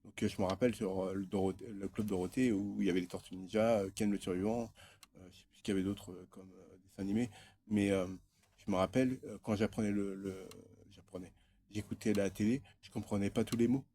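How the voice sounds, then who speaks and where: A man's voice: lowish voice, steady tone, quick pace, mellow. A man in his thirties from France, talking in a rambling, hesitant personal monologue full of fillers and restarts. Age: 30s. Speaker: vlogger.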